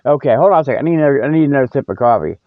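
A man's voice: medium pitch, lively intonation, rapid-fire, no room sound. Folksy rambling man on a phone-like line. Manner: folksy, colloquial, jokey, meandering. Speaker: host of a humorous mock news show. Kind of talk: rambling comic mock newscast, casual ad-libbed chat. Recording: narrow phone-like sound, dry.

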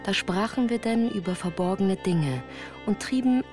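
A woman's voice: mid-range voice, lively intonation, moderate pace, close to an everyday voice. An actress as a woman recounting her childhood in composed, literary first-person narration for a radio drama. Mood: sober, reflective. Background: music.

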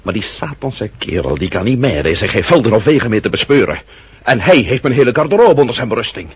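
An actor playing a proud, indignant French marquis in a radio drama, with a husky voice and expressive aristocratic manner. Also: male voice, medium pitch, fast talker, dry recording. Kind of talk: period radio drama acting.

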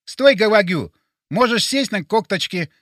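A man voicing a bossy, excitable, self-important male sidekick with a speech impediment on his R sounds, speaking emphatically. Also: high voice, a little slow, no echo, studio quality, pitched above the performer's own voice. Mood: urgent, commanding. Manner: emphatic, insistent.

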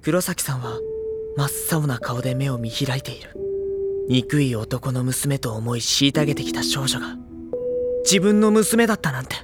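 A man's voice: mid-range voice, moderate pace, natural voice. An actor playing a somber, sensitive young man who narrates an audio drama in a grave, literary manner. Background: loud music.

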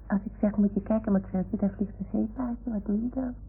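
Radio play role for a woman: naive little toy dog, inquisitive and childlike, creaky voice, tinny noisy old recording. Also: mid-range voice, steady tone, brisk, natural voice. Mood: curious.

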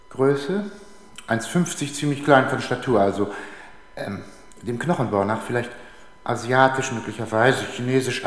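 An actor playing an unfazed, chatty forensic pathologist with pedantic, matter-of-fact asides in a German radio crime drama. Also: male voice, fairly low pitch, slight background noise, lightly acted. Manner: hesitant, pedantic asides delivered matter-of-factly. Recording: echoey, reverberant hard-walled room.

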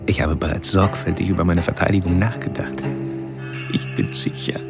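Radio crime drama: calmly deranged killer, low gravelly voice, pedantic and taunting, music underneath. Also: male voice. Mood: mocking, measured. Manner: formal, pedantic, halting, with whispered passages. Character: mocking, erudite male serial killer, calmly deranged. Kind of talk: recorded taunting monologue in a radio play.

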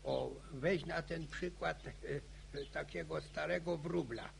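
An actor playing a chatty, grumbling old farmer in a radio drama, stammering and repeating words. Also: male voice, fairly high pitch, steady tone, a little slow, vocal fry, slight background noise. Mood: rambling, folksy.

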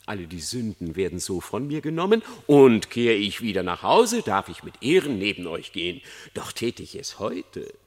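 An actor playing a sly, eloquent fox in a verse radio play, persuasive and ingratiating in a declamatory way. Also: male voice, on the low side, very animated, normal speed, hardly any pauses. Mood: feigned remorse. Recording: reverberant studio sound.

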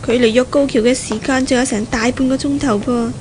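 A woman's voice: high-pitched, fairly even intonation, a little fast, darkish tone, natural voice. An actress playing a polite, earnest young woman engaged to be married, in a 1970s Cantonese radio drama with a Hong Kong accent. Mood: hopeful. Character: polite, earnest young bride-to-be, university graduate daughter. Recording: 1970s radio recording, faint noise.

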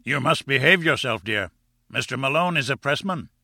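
A man acting a booming, bullying big husband. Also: animated, moderate pace, vocal fry, bright, forceful tone, no room sound, clean studio sound, heavily acted. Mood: mocking, booming. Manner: booming, rumbling, pompous. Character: huge bullying, mocking husband, blustering professor. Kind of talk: audiobook character acting.

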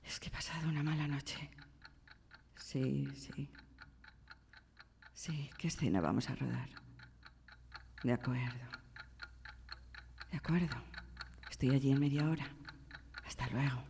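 A woman's voice: rumbling low, measured pace, vocal fry, long pauses, a little mellow, big-room sound, a little hiss or hum, exaggerated voice. An actress playing a volatile mother of a young girl in a radio drama. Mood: drowsy, subdued.

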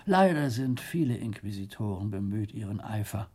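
A man playing a grave, courteous medieval abbot in a radio play, speaking formally and deliberately. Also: on the low side, animated, darkish tone, husky. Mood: grave, earnest. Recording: music under the voice.

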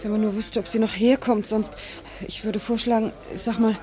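A woman in a German radio drama playing a worried, pleading gentlewoman who speaks in tentative, urgent pleas. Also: fairly high pitch, little pitch movement, normal speed, natural voice. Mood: uneasy.

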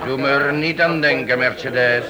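A man's voice: medium pitch, normal speed, muffled recording, a loud crowd, natural voice. Man acting a grumbling, hot-tempered tough guy. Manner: grumbling, threatening. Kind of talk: radio detective drama acting. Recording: old radio play, voices murmuring behind.

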